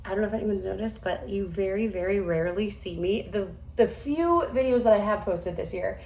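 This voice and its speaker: A woman in her thirties with a slightly hoarse voice, chatting casually to camera at home, self-deprecating and quick to laugh. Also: mid-range voice, darkish tone, poor audio. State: hoarse, recovering from losing her voice. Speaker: vlogger. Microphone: camera a few steps away.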